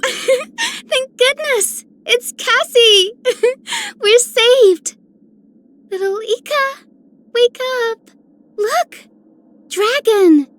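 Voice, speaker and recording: A woman's voice: high-pitched, big pitch swings, a little slow, darkish tone. A woman playing a cute, sunny, sweet young girl in a video game. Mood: relieved, excited. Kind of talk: scripted character voicelines.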